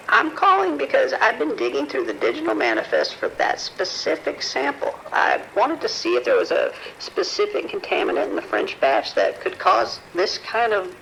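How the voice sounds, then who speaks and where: A man's voice: very high voice, gravelly, pushed and bright, slight background noise, heavily acted, poor audio. A man playing a worried, apologetic scientist in an audio drama, speaking carefully and formally with hesitations. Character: apologetic, worried research scientist reporting trouble, male. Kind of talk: audio drama phone dialogue.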